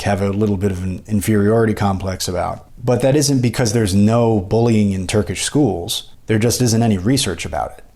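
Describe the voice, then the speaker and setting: An American man hosting a podcast, giving a measured, analytical literary commentary in an explanatory way. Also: fairly low pitch, darkish tone. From United States. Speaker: podcast host.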